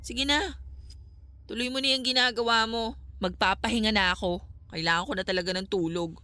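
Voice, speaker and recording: A woman's voice: a little high, steady tone, brisk, sharp tone, smooth, no room sound. A Filipino woman playing a troubled young vlogger, haunted, weary and easily hurt, in a radio drama.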